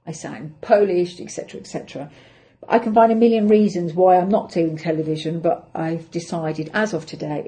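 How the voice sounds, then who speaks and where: A middle-aged woman with an English accent, chatting through her week on her own podcast. Her voice sits low and mellow, and she talks in a chatty, self-deprecating way, thinking aloud. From England. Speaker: actress and podcast host. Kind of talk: casual solo podcast update.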